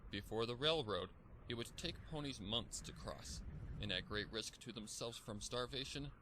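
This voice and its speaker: A man's voice: medium pitch, moderate pace. A man reading a scripted story as a dramatic narrator. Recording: faint noise and ambience behind.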